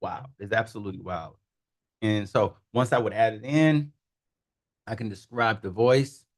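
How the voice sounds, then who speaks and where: A man in a casual conversation, demonstrating software in an animated, amused way and laughing often. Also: fairly low pitch, moderate pace. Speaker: guest, tech founder. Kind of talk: casual demo talk.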